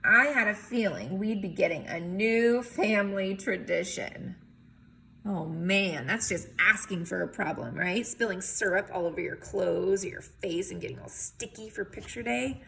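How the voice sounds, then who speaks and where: Expressive woman reading aloud, bright voice. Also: medium pitch, normal speed, creaky, slight background noise. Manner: animated, emphatic reading with chatty commentary. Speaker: teacher or reader reading a picture book aloud. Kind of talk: expressive storybook read-aloud with casual asides to children.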